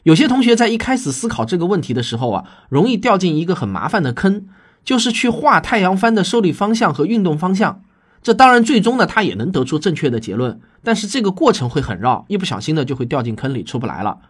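A man presents a science explainer with a fairly high, lively voice that swings up and down in pitch. His tone has a slightly husky edge. He teaches like a podcast host, stressing key points and checking with listeners through rhetorical questions. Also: fast talker. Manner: didactic, emphatic, addresses listeners with rhetorical questions. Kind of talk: science explainer podcast, scripted but conversational.

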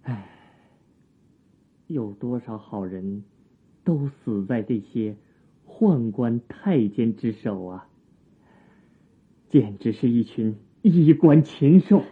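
An actor playing an earnest young court musician of old China in a Chinese radio drama, husky and measured, with literary diction. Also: male voice, mid-range voice, expressive, heavily acted. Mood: indignant. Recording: muffled narrow-band, music behind.

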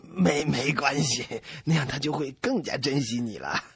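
Radio comedy part: male performer as patient, forgiving mother, raspy and soothing. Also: medium pitch, moderate pace, fairly bright tone, no echo, clean studio sound, exaggerated voice. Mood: soothing, resigned. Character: doting, reassuring mother on the phone, secretly despairing.

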